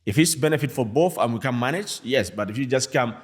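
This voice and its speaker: Fast-talking man from Mali. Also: medium pitch. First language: a Malian language. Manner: fast, animated talk in non-native English, acts out quoted people. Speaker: guest, music artist. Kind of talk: casual podcast chat.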